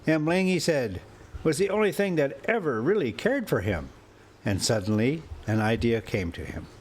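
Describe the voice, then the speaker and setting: A man hosting a story time, reading classic prose aloud in a measured, deliberate way. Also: mid-range voice, sing-song, husky. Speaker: host and story reader. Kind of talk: audiobook-style story narration with casual asides.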